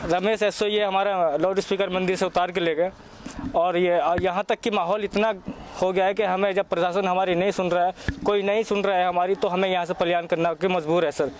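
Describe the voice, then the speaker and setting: A man's voice: high voice, steady tone, brisk. A man from a village, complaining in a street interview. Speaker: village resident. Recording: faint outdoor noise.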